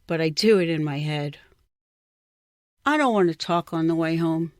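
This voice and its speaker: A woman giving an expressive scripted reading of a personal short story. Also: deep voice, moderate pace, no room sound, clean studio sound. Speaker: writer or reader of a short story.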